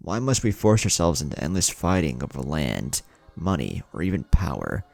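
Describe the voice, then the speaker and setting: Man playing respectful young male shinobi, serious, measured, polite, low-pitched, story reading. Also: very animated, vocal fry, a little mellow, no room sound, heavily acted.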